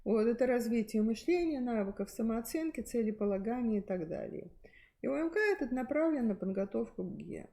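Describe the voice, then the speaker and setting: A woman's voice: fairly high pitch, expressive, fairly quick, mellow. A middle-aged woman giving an unscripted presentation on textbooks to fellow teachers, explaining with frequent fillers and restarts. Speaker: presenter at a teachers' methods meeting.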